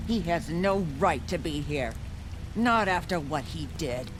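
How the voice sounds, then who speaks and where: A woman's voice: deep voice, expressive, unhurried, background noise. An actress playing a bitter, grieving, foul-mouthed tough woman, blunt and confrontational, in a video game. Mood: outraged.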